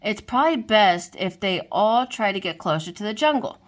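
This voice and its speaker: A woman voicing a kind, helpful female giraffe in a children's storybook read-aloud, bright and eager. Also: medium pitch, animated, normal speed, lightly acted. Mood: friendly.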